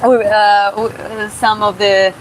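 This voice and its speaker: A woman from Georgia who streams and boxes, reading viewer comments aloud in a live Q&A and answering casually, often pausing and restarting to find her words. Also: medium pitch. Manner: casual, halting, searches for words with many restarts. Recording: steady background hum.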